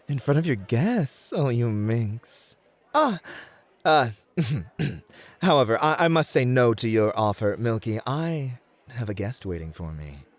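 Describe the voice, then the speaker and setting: Man acting a lilting, flirty gentleman club host. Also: medium pitch, sing-song, slow pace. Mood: flustered.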